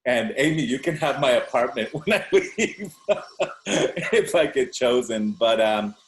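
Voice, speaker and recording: A man's voice: medium pitch, expressive, slight background noise, poor audio. A man in his fifties from Venezuela, a native Spanish speaker, asking a long, rambling question on a video call. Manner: rambling, halting with many fillers and restarts. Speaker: audience member asking a question.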